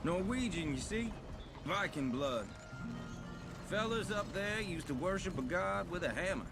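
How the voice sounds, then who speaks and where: A man's voice: high-pitched, animated, measured pace, over music. An actor playing a talkative, folksy hired gunman and family man who reassures his employer, in a video game cutscene. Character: chatty hired gun, family man, confident.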